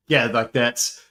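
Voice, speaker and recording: A man voice actor chatting on a panel, telling animated stories with frequent laughs and repeated starts. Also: medium pitch. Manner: animated storyteller, laughs often, repeats himself. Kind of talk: casual panel chat.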